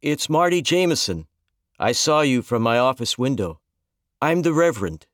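A man voicing a calm, gentle middle-aged reverend who speaks softly and consolingly. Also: mid-range voice, no room sound, clean studio sound, voice pushed up, natural voice. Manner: soft-spoken, measured, consoling.

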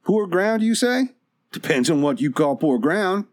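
A man voicing a calm, courteous stranger in an audiobook. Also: high voice, unhurried, no room sound, studio quality, voice pushed up. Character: calm, courteous male stranger, mysterious drifter.

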